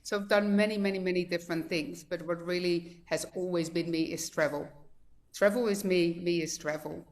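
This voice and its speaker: A woman in her fifties from the Netherlands with a mixed Dutch accent, sharing her personal story as a guest speaker on a video call in a chatty, heartfelt way with many fillers. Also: on the low side. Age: 50s.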